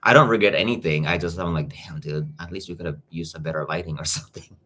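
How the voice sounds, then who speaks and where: Young male reactor, Filipino accent, deep voice, casual livestream chatter. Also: fast talker, bright, forceful tone. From Philippines. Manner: chatty, greets viewers by name. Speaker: YouTube reactor.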